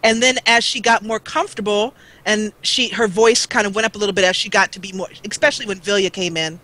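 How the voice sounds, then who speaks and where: A woman with a bright, forward voice chats in a relaxed conversational style. She speaks as a guest who makes gaming videos, and her tone has a clear edge to it. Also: fast talker, low-quality recording. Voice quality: low-voiced. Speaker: guest, gaming video maker and role-player. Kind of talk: casual chat.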